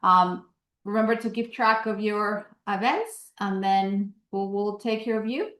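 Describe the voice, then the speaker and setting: A woman's voice: mid-range voice, measured pace, a bit bright, roomy sound. A woman with a Colombian accent hosting announcements at an online meeting, talking casually with many fillers and an upbeat promoting tone. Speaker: investor association host.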